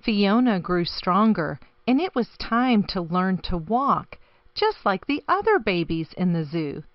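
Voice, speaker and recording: A woman reading a children's picture book aloud expressively, with deliberate, dramatic phrasing. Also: medium pitch, big pitch swings, no room sound, lo-fi sound. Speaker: storybook reader.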